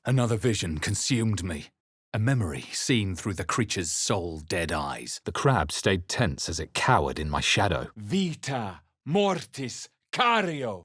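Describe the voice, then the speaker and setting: A man playing a wary, grave fantasy adventurer in a video game, with deliberate, theatrical delivery. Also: lowish voice, fairly bright tone, husky, no room sound, clean studio sound, exaggerated voice. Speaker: video game player-character voice actor. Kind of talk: dramatic scripted game narration and voice lines.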